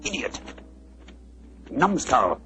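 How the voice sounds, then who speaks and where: A British actor playing a shrewd, commanding gentleman detective in a 1940s radio drama, with an urbane, clipped delivery. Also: medium pitch, sing-song, drawn-out words, faint music behind, full character voice. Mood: decisive, self-reproachful. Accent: British English. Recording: dull 1940s radio recording.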